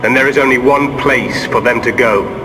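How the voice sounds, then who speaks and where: A man's voice: medium pitch, moderate pace, natural voice. Man acting menacing villain warlord in cavernous reverb. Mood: menacing, scornful. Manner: imperious, menacing. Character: cold, contemptuous mechanical villain warlord. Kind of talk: dramatic video game villain acting. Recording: long hall reverb, music behind.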